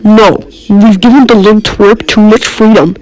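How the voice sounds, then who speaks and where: A man's voice: high-pitched, fairly even intonation, normal speed, darkish tone, lightly acted, rough recording. A man voicing a harsh, contemptuous father who gripes bluntly in a story. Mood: scornful. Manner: blunt, scornful griping.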